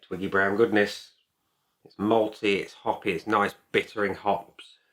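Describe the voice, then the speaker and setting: Really deep bloke doing a chatty review vid, punchy bright voice, kinda opinionated. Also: male voice, fairly even intonation, a little slow. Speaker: beer reviewer and video host. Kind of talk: casual review to camera.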